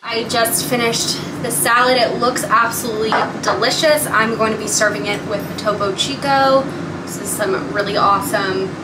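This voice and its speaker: A woman's voice: a little high, steady tone, normal speed. A young woman in her twenties, talking casually to camera in a small home kitchen and filling her speech with "like". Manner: chatty, many fillers like "like". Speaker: vlogger. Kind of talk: casual vlog chat. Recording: echoey room, noisy, mic at a distance.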